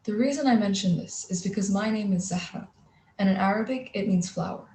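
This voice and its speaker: A woman's voice: medium pitch, brisk, warm tone, a little hiss or hum. A teenage girl from Kuwait whose first language is Gujarati, giving a polished, articulate prepared speech. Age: teens. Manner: articulate, poised, polished phrasing. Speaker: youth speaker. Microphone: video call. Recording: echoey room over video call.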